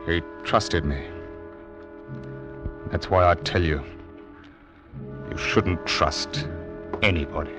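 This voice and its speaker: An actor playing a haunted, guilt-ridden sailing ship's captain in a vintage radio drama, brooding and confessional. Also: male voice, low-pitched. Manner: slow, brooding, confessional delivery. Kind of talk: 1940s-50s radio drama acting. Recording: old radio recording with music.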